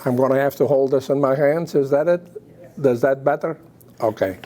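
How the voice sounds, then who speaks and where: An older man with a Belgian accent giving a public talk about his memories, speaking haltingly with pauses. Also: medium pitch, warm tone. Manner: halting, hesitant delivery with pauses. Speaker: guest speaker.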